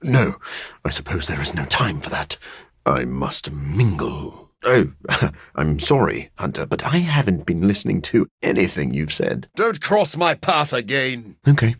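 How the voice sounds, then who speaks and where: Gravelly, brooding vampire gentleman. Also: low voice, sing-song, dry recording, clean studio sound, heavily acted. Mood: dryly playful. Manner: formal, measured, wry and theatrical delivery. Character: brooding, dryly witty, gentlemanly male scientist vampire. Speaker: video game voice actor. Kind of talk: scripted video game character lines.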